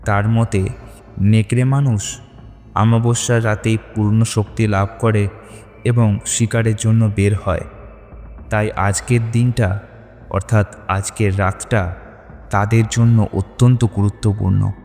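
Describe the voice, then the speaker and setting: A man's voice: lowish voice, level tone, measured pace, long pauses, darkish tone, in a large hall, soft background music. A man narrating a scripted horror story in a dramatic, suspenseful manner.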